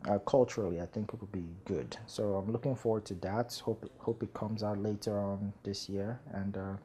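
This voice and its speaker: Relaxed, rambling man. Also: fairly low pitch, normal speed, a little hiss or hum. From Nigeria. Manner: relaxed, rambling, many fillers and laughs. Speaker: screenwriter guest. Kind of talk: casual interview chat.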